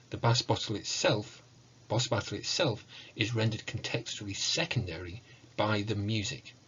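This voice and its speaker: Male academic lecturer, lowish pitch, measured formal delivery, scholarly phrasing, dull recording. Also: slight background noise. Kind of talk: academic lecture on video game music. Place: book-lined room at home, indoors.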